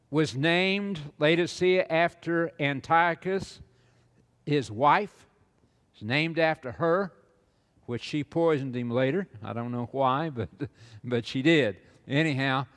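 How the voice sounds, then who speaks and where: An older man lecturing on stage as a preacher to an audience, slow and rambling, with many "uh"s and repeated words. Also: fairly high pitch, fairly bright tone, long reverb tail. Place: large auditorium stage with audience.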